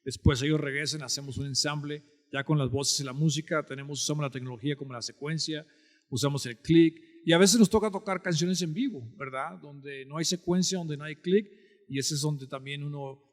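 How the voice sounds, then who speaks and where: Man, Mexican-American border accent, band director, conversational interview guest, somewhat high pitch, long reverb. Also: brisk. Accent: Mexican-American border Spanish. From Texas-Mexico border, United States. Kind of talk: conversational explanation.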